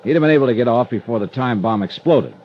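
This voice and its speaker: A man's voice: medium pitch, animated, fast talker, a little hiss or hum, natural voice. An American man playing a wry, hard-boiled freelance insurance investigator in clipped, confiding 1950s radio detective style. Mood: intent, explaining. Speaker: lead actor and narrator. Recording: muffled vintage radio recording with music.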